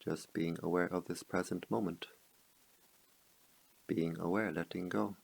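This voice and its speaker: Man, deep voice, creaky fry, guided meditation, calm measured delivery, short phrases, long pauses. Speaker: meditation teacher.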